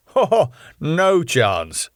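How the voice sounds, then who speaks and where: A pompous older British club owner, as a man puts him on, fussy and given to theatrical protest. His voice swings up and down with lively pitch, and the sound is dry and close. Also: very slow, no echo, heavily acted. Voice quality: pompous, dramatic outbursts.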